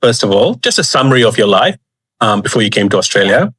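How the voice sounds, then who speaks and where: A male podcast host with a low voice, steering the talk with easy jokes and encouraging prompts. His voice is close-miked and dry. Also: very animated, fast talker, breathy edge, no echo. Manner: warm, encouraging, jokey prompts. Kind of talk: conversational interviewing.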